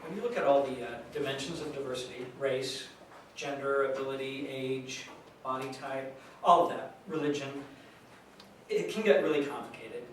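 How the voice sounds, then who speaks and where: A man's voice: mid-range voice, moderate pace, close to an everyday voice. Echoey, distant man acting an earnest youth worker. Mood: troubled, reflective. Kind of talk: staged reading monologue. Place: live staged reading in a large room. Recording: very echoey hall, distant mic, faint noise.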